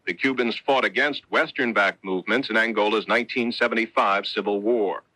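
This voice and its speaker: A man's voice: mid-range voice, bright, forceful tone, no echo, rough recording. An American man reading a scripted 1970s network radio newscast as a reporter. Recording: old 1970s radio broadcast.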